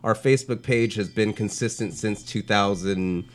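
A man's voice: lowish voice, normal speed, a little hiss or hum. A man co-hosting a casual chat, talking in a rambling, slangy way with plenty of "like" and "you know". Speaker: podcast co-host, street art blogger.

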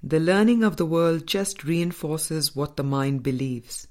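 A woman reading a spiritual book as scripted narration, in a measured, deliberate manner with frequent pauses. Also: deep voice, darkish tone. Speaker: audiobook reader.

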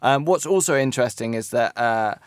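A man's voice: medium pitch, lively intonation, a little fast, smooth, no room sound. A man working as an editor and correspondent, talking things through in a radio studio discussion, reflective and hedging often. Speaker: Americas editor at large, correspondent.

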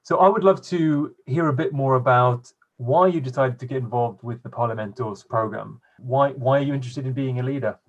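A man hosting a podcast interview in a relaxed, conversational style. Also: medium pitch, fairly quick, few pauses, dull audio. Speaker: podcast host.

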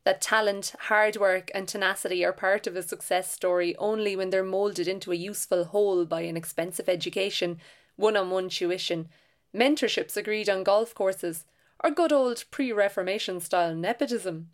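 A woman's voice: mid-range voice, moderate pace. Woman reading a sardonic essay. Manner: sardonic, wordy.

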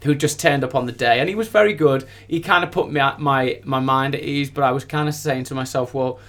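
A man's voice: mid-range voice, brisk, fairly bright tone. A man speaking as a musician guest, telling anecdotes in a casual, gushing, enthusiastic way. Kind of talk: casual anecdotal interview chat.

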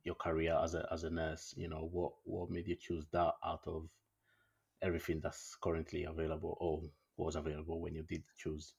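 A man's voice: extremely low pitch, completely flat delivery, a little fast, vocal fry, a little mellow, breathy edge. A man hosting a casual interview, phrasing questions hesitantly and often repeating short acknowledgements. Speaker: interview show host.

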